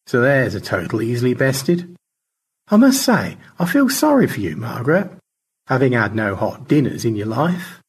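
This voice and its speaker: Audio story role, male reader: languid, grand gentleman spaceman, mannered and airy, rough husky tone, theatrically wide intonation. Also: mid-range voice, very animated, moderate pace, pitched above the performer's own voice. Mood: grandly magnanimous. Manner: florid, mannered, airily unflappable.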